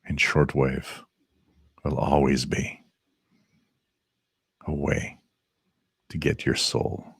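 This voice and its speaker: Guy doing a deep slow spooky ghost voice from beyond the grave, real dry. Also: flat delivery, creaky, slightly rough, dry recording, heavily acted. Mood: ominous. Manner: slow ominous drawl.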